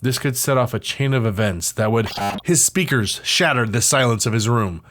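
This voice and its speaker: A man narrating an interactive audio drama in a suspenseful, theatrical scripted style with dramatic pauses. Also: mid-range voice, a bit bright, slight background noise. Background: music.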